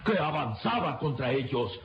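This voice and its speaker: A male actor narrating an adventure radio serial in a solemn, measured and suspenseful manner. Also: mid-range voice, very animated, heavy background noise, lightly acted. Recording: dull lo-fi radio, loud music behind.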